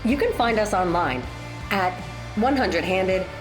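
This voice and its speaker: A woman's voice: mid-range voice, lively intonation, measured pace. A woman reading scripted credits as the announcer of an audio drama. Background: prominent music.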